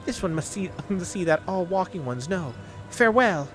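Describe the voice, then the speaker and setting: A man voicing a simple, earnest beast-folk creature from a fantasy game, speaking haltingly in the third person. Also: sits high, expressive, fairly bright tone, faint music behind, voice pushed up. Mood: urgent.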